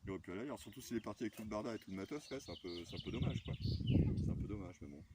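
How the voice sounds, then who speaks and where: A man's voice: fairly low pitch, expressive, racing. A man in a casual radio chat, musing hesitantly and often repeating himself. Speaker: amateur radio operator. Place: outdoors in the mountains. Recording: very noisy outdoor radio recording.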